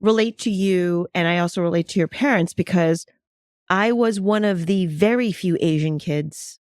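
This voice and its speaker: A woman's voice: fairly low pitch, moderate pace, a little mellow, no room sound, studio quality. Woman host asking interview questions. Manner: attentive, with frequent short affirmations. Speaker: podcast host.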